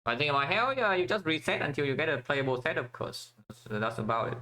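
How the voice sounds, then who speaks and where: A man's voice: fairly high pitch, big pitch swings, fast, bright, forceful tone, low-quality recording. A man from Vietnam giving casual commentary while playing a strategy game, thinking aloud with many fillers and restarts.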